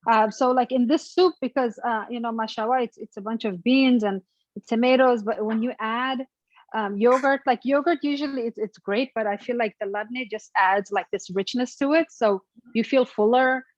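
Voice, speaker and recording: A woman's voice: on the high side, moderate pace, dry recording, lo-fi sound. A young woman with an Afghan accent, chatting hesitantly and laughing as she walks through cooking a family dish. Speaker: guest cook. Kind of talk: casual cooking demonstration.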